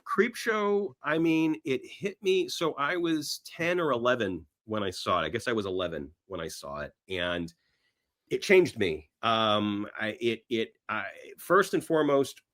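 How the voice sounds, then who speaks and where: A man's voice: medium pitch, lively intonation, unhurried, a bit bright. A middle-aged man talking casually about films as a podcast guest, enthusiastic and rambling, often repeating his phrases. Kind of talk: casual film chat.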